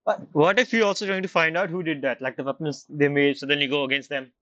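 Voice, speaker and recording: A game streamer commenting casually in halting, non-native English, often correcting themselves. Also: mid-range voice, fairly even intonation, fairly bright tone, dry recording, dull audio. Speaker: game streamer reacting to the story. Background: faint game music.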